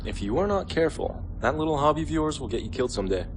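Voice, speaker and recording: A man's voice: a little high, lively intonation, very fast, natural voice. A man playing a cautious male cadet who speaks in a dry, warning tone. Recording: noisy background.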